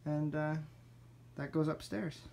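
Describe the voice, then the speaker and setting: Young adult male vlogger, a touch high in pitch; informal, low-key home-tour delivery into a handheld camera. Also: fairly even intonation, slow, vocal fry, darkish tone, a little hiss or hum. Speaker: gaming YouTuber. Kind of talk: casual home tour vlog. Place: small furnished basement rooms indoors. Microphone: handheld camera's built-in mic.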